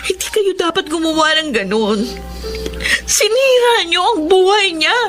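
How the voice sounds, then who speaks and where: High-voiced earnest young Filipino woman. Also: lively intonation, measured pace, a bit bright, soft background music, exaggerated voice. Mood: hurt, reproachful. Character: earnest, soft-hearted young woman, a loyal niece. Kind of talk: Filipino radio melodrama acting.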